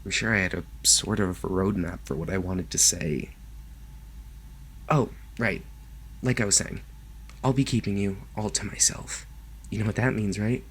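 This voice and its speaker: Man acting a low-voiced, doting yandere kidnapper. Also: steady tone, a little hiss or hum. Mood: smitten, rambling. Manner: soft, gentle, halting, sometimes dropping to a whisper. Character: lovestruck male yandere kidnapper, doting, possessive, awkwardly reassuring. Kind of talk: ASMR roleplay, intimate monologue to the listener.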